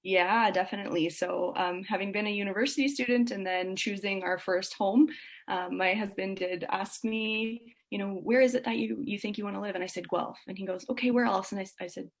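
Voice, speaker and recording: A woman's voice: mid-range voice, steady tone, brisk. A woman speaking as an interview guest, explaining things in an earnest, conversational way. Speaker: sleep consultant, podcast guest.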